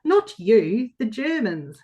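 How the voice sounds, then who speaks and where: Woman as a chatty receptionist, highish voice. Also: very animated, takes it slowly, warm tone, husky, dull audio, heavily acted. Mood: chatty, indifferent. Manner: matter-of-fact, chatty, colloquial. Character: chatty, casual, unbothered Australian female receptionist. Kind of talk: play reading.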